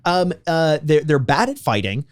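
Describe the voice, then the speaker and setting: A man's voice: on the high side, expressive, normal speed, dry recording, clean studio sound. A man co-hosting a podcast, casually recapping a movie with wry, jokey asides. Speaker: podcast co-host.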